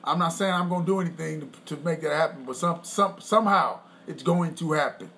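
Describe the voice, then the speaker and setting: A high-pitched man chatting on a home podcast. He rambles and brags, clears his throat now and then, and a faint hiss sits under the recording. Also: brisk, fairly bright tone. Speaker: podcast co-host. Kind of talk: casual chat.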